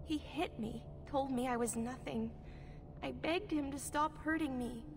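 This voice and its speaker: A woman's voice: high-pitched, a little slow, background noise. A woman voicing a frightened, naive young elf in a video game, speaking in a fragile, pleading way. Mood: distressed, pleading.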